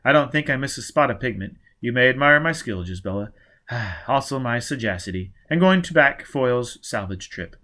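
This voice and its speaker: A man's voice: medium pitch, animated, normal speed, pushed and bright. A man acts out a scheming underworld surgeon in an audiobook. He speaks in a middle register, with wry, self-satisfied pride in his skill. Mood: smug.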